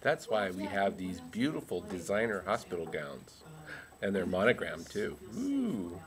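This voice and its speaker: A man's voice: wildly swooping pitch, a little slow, a crowd in the background, exaggerated voice. A man voicing a goofy academic researcher puppet in a comic explainer, playful and silly. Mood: playful explaining. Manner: playful, silly, with high whoops.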